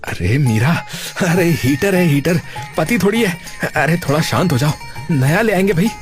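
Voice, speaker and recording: A man's voice: on the high side, expressive, moderate pace, soft background music, close to an everyday voice. An actor voicing an easygoing, joking young man in a cartoon, talking in a casual, colloquial way. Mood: teasing. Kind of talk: cartoon character acting.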